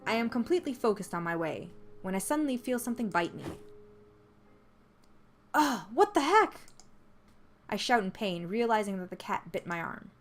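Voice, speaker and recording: A woman reading a story aloud as scripted narration, in an expressive storytelling manner. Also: medium pitch, fast, hardly any pauses. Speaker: visual novel player reading the text aloud. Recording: music behind the voice.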